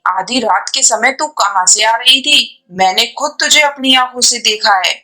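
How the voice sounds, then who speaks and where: A woman's voice: fairly high pitch, moderate pace, sharp tone. A woman voicing an older, exasperated mother-in-law pigeon in a cartoon story, with a dramatic, scolding manner. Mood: angry, scolding. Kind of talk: Hindi animated moral story acting.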